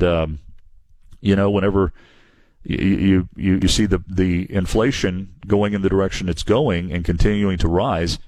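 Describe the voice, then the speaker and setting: A man's voice: deep voice, a little mellow, no echo. A man hosting a call-in home improvement radio show, talking plainly and earnestly with many restarts and repeated words. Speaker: radio show host and window company owner. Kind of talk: call-in radio advice talk.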